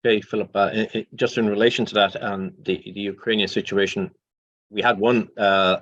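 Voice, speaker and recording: A man's voice: lowish voice, steady tone, fairly quick, fairly bright tone, no echo. A man giving an unscripted answer as a panel speaker. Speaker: panel speaker from aviation industry. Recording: dull, dry lo-fi audio.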